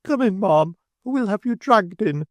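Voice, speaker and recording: A man reading aloud and voicing a pompous, proper middle-aged woman who is triumphant and officious, in a high, overbearing voice. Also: animated, normal speed, no echo. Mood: commanding, excited. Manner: shrill, high, stately and overbearing.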